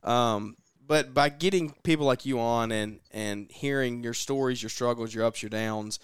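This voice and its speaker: Dude in his twenties, podcast host, rambly with lots of ums, smooth clear voice, dry close mic. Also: medium pitch, moderate pace, no room sound. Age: 20s. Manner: rambling, filler-heavy, folksy. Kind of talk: casual podcast chat.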